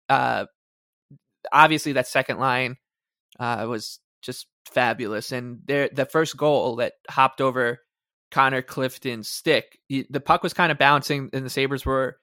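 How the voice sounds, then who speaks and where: Bright, dry-sounding man co-hosting sports chat. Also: mid-range voice, unhurried, no room sound. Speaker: sports podcast co-host. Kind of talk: casual hockey discussion.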